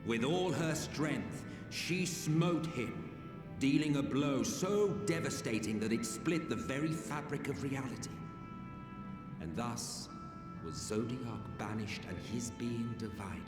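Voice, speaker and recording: An actor playing a weary, grandiose ancient villain in a video game cutscene, telling of lost history in a measured, wry way with hushed dramatic pauses. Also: male voice, mid-range voice, lively intonation. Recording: echoey distant hall under loud music.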